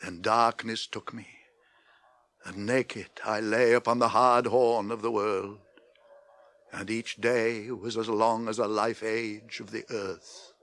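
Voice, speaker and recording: A man's voice: on the low side, little pitch movement, slow pace, fairly bright tone, no room sound. An older man acting a grave, commanding old wizard in a theatrical, stately 1980s radio drama style. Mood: solemn, weary. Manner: theatrical, stately, declaiming delivery.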